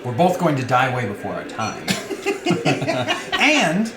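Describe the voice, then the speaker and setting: A man's voice: mid-range voice, huge pitch swings, takes it slowly. A man playing a sickly, wryly blunt and giggly tipsy man in a dramatized novel reading, with a theatrical delivery. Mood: giddy hilarity. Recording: roomy echo, loud music behind.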